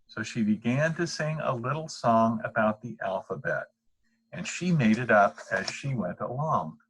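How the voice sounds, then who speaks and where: An older man reading a children's bedtime story in a deliberate, expressive narrating style. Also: mid-range voice, poor audio. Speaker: storybook reader.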